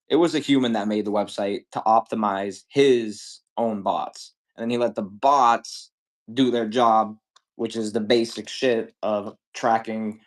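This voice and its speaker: A man in his twenties chatting casually and profanely while selling on a livestream, rambling with lots of slang. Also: mid-range voice. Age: 20s. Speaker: livestream seller.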